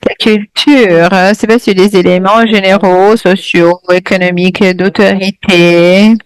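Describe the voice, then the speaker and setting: Woman interpreting a talk over a video call, real slow, lots of ums and restarts, dry sound. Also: medium pitch, fairly even intonation, clean tone, no echo, low-quality recording. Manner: hesitant, full of fillers and restarts.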